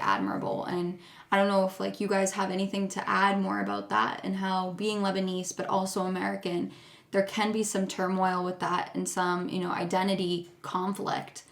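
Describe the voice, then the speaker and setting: A woman's voice: mid-range voice, gravelly. A young woman hosting a casual family podcast, chatty and asking her relatives leading questions. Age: young adult. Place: family home, around a table. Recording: echoey room.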